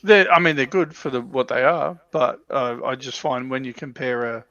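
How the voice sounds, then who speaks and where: A man's voice: medium pitch, fairly even intonation, moderate pace, bright, forceful tone, dry recording. A man chatting casually as a panellist on a hobby livestream, recorded with dull, lo-fi sound.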